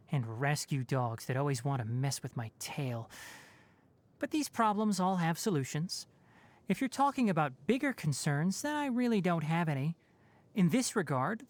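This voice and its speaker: An actor playing a young male forest ranger with fox ears and a tail, composed, wry and knowledgeable, in video game voice lines. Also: fairly high pitch. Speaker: game character.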